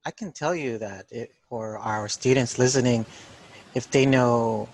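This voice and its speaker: A man's voice: mid-range voice, measured pace, rough recording. Friendly Filipino-accented man teaching. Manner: friendly, laughs easily, prompts the guest with questions. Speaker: teacher hosting an art history lesson. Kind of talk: casual classroom discussion.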